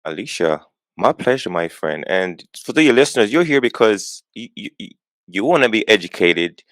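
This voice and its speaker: A man's voice: fairly low pitch, very animated, normal speed, a bit bright, no echo. A man in his forties, from Jamaica, talking earnestly as a podcast guest and repeating words as he gets going. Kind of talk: conversational interview.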